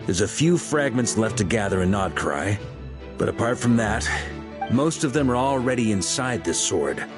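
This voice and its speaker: A man's voice: on the low side, lively intonation, moderate pace, over music, natural voice. A man voicing a confident, genial knight commander in a video game, assured and hearty as he explains. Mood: amused.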